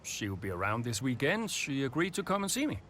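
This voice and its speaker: An actor playing a tactful, caring racing team boss in a video game drama, sincere and persuasive. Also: male voice, mid-range voice, big pitch swings, a little fast, fairly bright tone, a little hiss or hum. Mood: calm reassurance.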